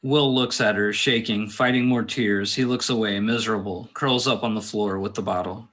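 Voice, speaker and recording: A man's voice: fairly low pitch, steady tone, normal speed, fairly bright tone. A man directing a table read, reading stage directions aloud and adding casual asides.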